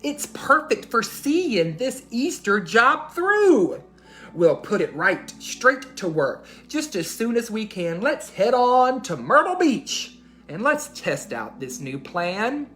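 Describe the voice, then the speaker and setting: Lady doing a squeaky bossy little boy mouse for a kids book, kind really, super animated, bit of music. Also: slow pace, full character voice. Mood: excited. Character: small squeaky male mouse, bossy, brisk, kindly. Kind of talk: children's storybook read-aloud.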